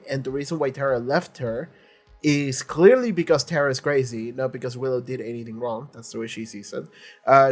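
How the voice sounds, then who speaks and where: Stuffy-nosed young guy doing reaction commentary, blunt, swears a lot, laughs a bunch. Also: medium pitch. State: congested. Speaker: reaction video host.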